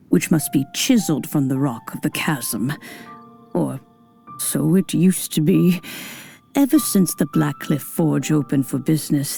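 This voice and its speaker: A woman playing an elderly, kindly immortal in a video game, speaking in formal, old-fashioned phrases with gentle authority. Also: sits low, gravelly. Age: older. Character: elderly kindly female adeptus, nostalgic and gently commanding. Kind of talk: scripted video game acting.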